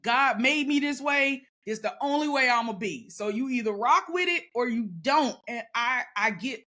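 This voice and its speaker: A woman talking casually as a guest, loud and emphatic with a sassy edge. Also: on the high side, moderate pace. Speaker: podcast guest. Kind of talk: casual conversation.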